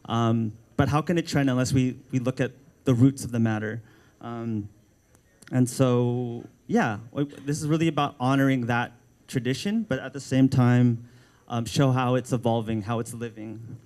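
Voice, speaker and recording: A man's voice: medium pitch, steady tone, moderate pace, a little mellow. A Filipino American man, an art director, speaking at a book launch with frequent ums and restarts. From United States. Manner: hesitant, many ums and restarts.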